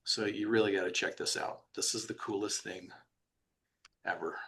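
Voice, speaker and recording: A man's voice: fairly low pitch, steady tone, normal speed, fairly bright tone, breathy edge. Guy hosting a webinar, upbeat and chatty, ums here and there, call mic. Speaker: webinar host.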